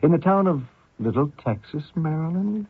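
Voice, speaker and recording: Self-righteous avenger, thin radio sound. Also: male voice, fairly high pitch, expressive, husky, no echo. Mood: taunting. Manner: deliberate, taunting, theatrical. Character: vengeful, self-righteous Canadian man pursuing a fugitive. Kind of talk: old radio drama acting. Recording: muffled thin old radio recording.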